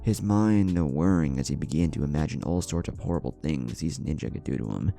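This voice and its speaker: A man's voice: a bass voice, fairly quick, gravelly, dark tone. A man reading an adventure story as a dramatic scripted narration. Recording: background music under the voice. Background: music.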